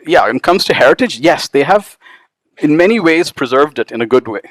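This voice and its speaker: A man talking about his travels at a book event, animated and quick to laugh. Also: a little high, no room sound. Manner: animated storyteller, laughs often. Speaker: author. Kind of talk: casual talk at a book event. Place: event hall with live audience.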